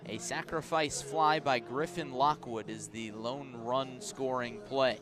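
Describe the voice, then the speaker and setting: A man calling a baseball game live on the radio, describing each pitch in measured phrases and lifting his voice for key plays. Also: over music. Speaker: baseball play-by-play announcer. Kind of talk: live radio sports commentary.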